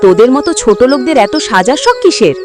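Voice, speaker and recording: A woman's voice: a little high, big pitch swings, quick pace, music in the background. A woman voicing a haughty, mean shopkeeper mother in a children's cartoon, snobbish and scornful. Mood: scolding, indignant.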